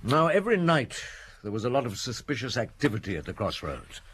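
Husky, shrewd radio-drama police inspector. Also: male voice, mid-range voice, sing-song, fairly bright tone. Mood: probing, assured. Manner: deliberate, probing, dramatic pauses. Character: shrewd, methodical male French police chief inspector. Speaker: detective. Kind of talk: old radio drama acting.

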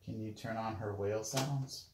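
A man speaking casually and gently as an encouraging parent to small children. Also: fairly low pitch, steady tone, normal speed. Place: small child's bedroom, indoors. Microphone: handheld phone. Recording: roomy home recording with noise.

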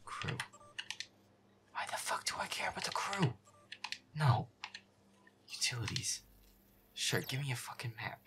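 A man playing a feisty, foul-mouthed boyfriend who mutters exasperatedly while playing a scary video game, often in a breathy whisper. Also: mid-range voice, big pitch swings, unhurried, long pauses, noisy background. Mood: grumbling. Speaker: audio roleplay performer. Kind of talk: casual gaming commentary in boyfriend audio roleplay.

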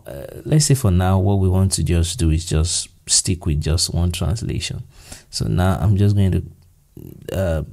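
Deep, gravelly Nigerian man. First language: Yoruba. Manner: relaxed, thinking aloud with fillers and restarts. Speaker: software tutorial presenter. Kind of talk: screen-recorded software tutorial. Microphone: voice-over to screen recording.

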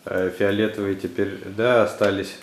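A man's voice: lowish voice, little pitch movement, normal speed. A man talking casually as a father, prompting and instructing children through a craft at home. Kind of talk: casual family chat. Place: indoor room at home. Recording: roomy echo, faint background noise.

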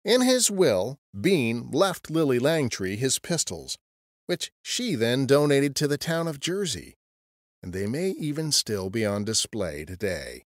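A man's voice: medium pitch, big pitch swings, unhurried, dry recording, studio quality. A man narrating a scripted history podcast as a storyteller.

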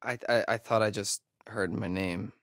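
A man's voice: lowish voice, steady tone, normal speed, no room sound, clean studio sound, close to an everyday voice. A young man with an American accent playing a sarcastic, nervy camp counselor in a video game, speaking in a hesitant, stammering way. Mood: wry, uneasy. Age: young adult. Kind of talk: naturalistic video game acting.

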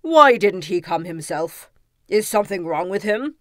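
Woman putting on a loud, imperious storybook king. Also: medium pitch, animated, a little slow, no echo, studio quality, voice pushed down, lightly acted. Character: blustering, suspicious male king of folktale.

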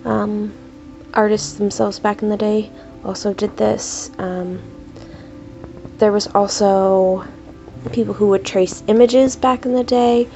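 A young woman talks slowly and haltingly, her voice congested and stuffed up from a cold. Her tone is dark and mellow with a gravelly rasp. She speaks at a desk at home, with faint music playing underneath. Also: mid-range voice, fairly even intonation, muffled recording. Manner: hesitant, many ums, slow and deliberate. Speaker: art YouTuber. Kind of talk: casual commentary while drawing.

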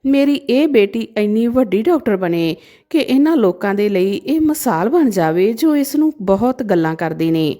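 Gentle, devout priest father voiced by a woman. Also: medium pitch, expressive, moderate pace, close to an everyday voice. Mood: prayerful, reflective. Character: kindly, devout male temple priest and devoted father.

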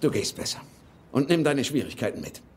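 A man's voice: medium pitch, very animated, a little fast, slight background noise, lightly acted. An actor playing a skeptical older father, grumbling and dismissive, in a dubbed film. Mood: bitter. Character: bitter, skeptical older father, weary of treasure hunting. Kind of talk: German film dub acting. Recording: film soundtrack with music underneath.

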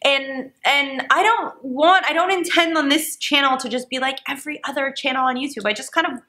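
A young woman from Canada chatting to the camera in a vlog, rambling and animated with lots of fillers. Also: sits high, a little fast. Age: young adult. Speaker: vlogger. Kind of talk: casual chat to camera. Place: small dim living room indoors.

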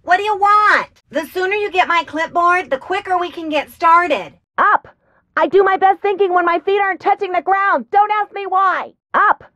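A woman's voice: very high voice, normal speed, pushed and bright. A woman voicing a brusque, eccentric female insect researcher in a video game, speaking emphatically. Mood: stubborn, impatient. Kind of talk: video game character acting.

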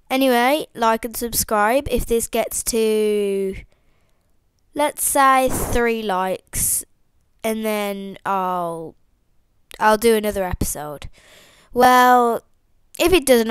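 Teen girl, sniffly with a cold, smooth clear tone, dry close headset sound, rambling chatter. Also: medium pitch, dry recording. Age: teens. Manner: chatty, rambling, many fillers and restarts. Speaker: toy video maker. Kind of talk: rambling casual outro chat. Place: indoors at home. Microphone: headset mic.

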